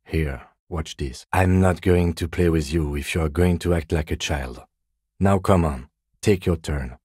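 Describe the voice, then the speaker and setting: Middle-aged police lieutenant, video game dialogue, husky, measured, understated, dry wit, deep voice, close dry sound. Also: male voice, dark tone, no echo. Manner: measured, understated, dryly wry.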